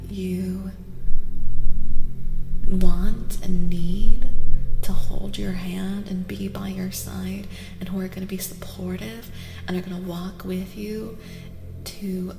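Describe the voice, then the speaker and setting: A woman speaks softly and slowly, with a creaky edge to her voice that often thins toward a whisper. She addresses live viewers as she reads tarot in an ASMR style. Her voice hangs in a long, echoing reverb with music clearly behind it. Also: mid-range voice. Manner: soft-spoken, often dropping to a whisper. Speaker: streamer host and tarot reader. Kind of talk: soft-spoken ASMR live tarot reading.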